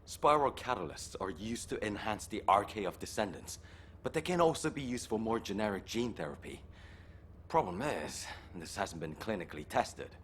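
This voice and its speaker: Low-voiced earnest scientist man. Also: animated, moderate pace, fairly bright tone. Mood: explaining. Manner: explanatory, technical, insistent. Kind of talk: video game voice acting. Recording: game audio with faint noise behind.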